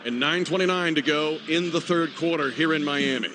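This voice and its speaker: High-pitched American play-by-play man over stadium noise. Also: moderate pace, pushed and bright. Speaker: play-by-play announcer. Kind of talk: live radio football commentary. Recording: noisy stadium radio broadcast.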